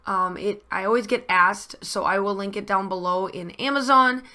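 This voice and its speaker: A woman's voice: mid-range voice, normal speed, pushed and bright. A woman narrating a scrapbooking video in a casual, rambling voice-over with many fillers and restarts, her voice a little groggy after losing it. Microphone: voice-over mic.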